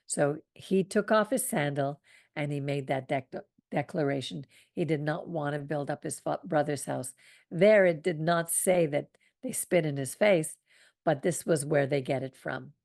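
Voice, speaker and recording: Soothing low-voiced woman teaching scripture. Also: creaky, a little mellow, no room sound, studio quality. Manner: soothing, unhurried teaching with small laughs. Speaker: Torah study host. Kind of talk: reading and commenting on scripture.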